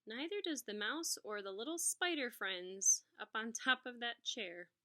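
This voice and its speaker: A woman reading a picture book aloud as a teacher, adding expressive explanatory asides for young children. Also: fairly high pitch, measured pace.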